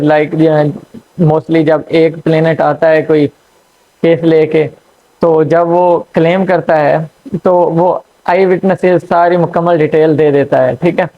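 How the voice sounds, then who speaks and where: A man giving a law lecture, explaining slowly with frequent fillers and checks. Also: on the high side, level tone. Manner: hesitant, frequent fillers and checks "theek hai". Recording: dull lo-fi recording.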